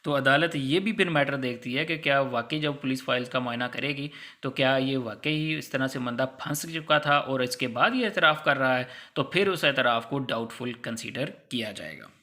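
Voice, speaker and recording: A man's voice: mid-range voice, moderate pace, hardly any pauses, sharp tone. A man with a Pakistani accent lecturing in a conversational, didactic way, explaining step by step and mixing in English legal terms. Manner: didactic, conversational, acts out hypothetical examples. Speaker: law lecturer.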